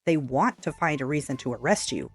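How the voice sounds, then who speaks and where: A woman giving opinion commentary in a measured, matter-of-fact way, correcting point by point. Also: deep voice, animated, breathy edge. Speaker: reaction commentator. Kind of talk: opinion commentary over played clips.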